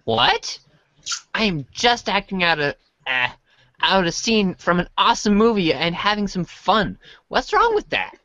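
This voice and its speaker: A woman's voice: medium pitch, very animated, a little slow, bright, forceful tone, dry recording, muffled recording, heavily acted. A woman playing a goofy, earnest young boy who is an enthusiastic movie fan, in amateur readers' theater. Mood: defensive, enthusiastic.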